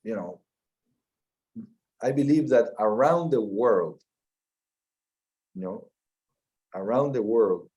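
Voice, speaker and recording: A middle-aged man from Peru with a Peruvian Spanish accent, answering interview questions in a measured way, often restarting and repeating words. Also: fairly low pitch, lively intonation, darkish tone, highs cut off. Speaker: mining company CEO.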